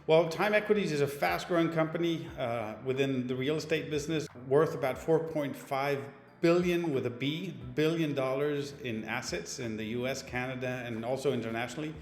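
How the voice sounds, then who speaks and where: A man's voice: mid-range voice, normal speed. Male interview host asking polite, formal questions; roomy sound with a long reverb tail and faint music.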